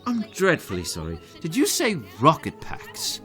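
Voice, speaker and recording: A man playing a formal, polite gentleman adventurer from the past in an audio drama, with courtly diction. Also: fairly high pitch, wildly swooping pitch, moderate pace, soft background music, full character voice. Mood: courteous, proper.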